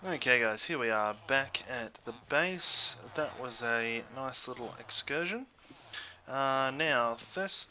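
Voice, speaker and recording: A man's voice: medium pitch, sharp tone. A man hosting a gaming video with casual commentary, hesitant and thinking aloud as he goes.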